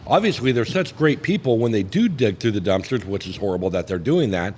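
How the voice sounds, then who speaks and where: Lively wry man, lowish voice. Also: brisk. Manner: wry, sarcastic, profane. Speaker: podcast host. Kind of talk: casual bantering chat. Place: indoor cigar lounge. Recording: faint background noise.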